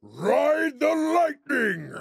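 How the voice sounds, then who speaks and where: An actor voicing a manic troll shaman in a video game, wailing and shouting in a raspy voice. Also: extremely high pitch, sing-song, very slow, dry recording, heavily acted. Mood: manic, exultant. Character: wild troll shaman crackling with lightning, manic. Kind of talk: video game character voice lines.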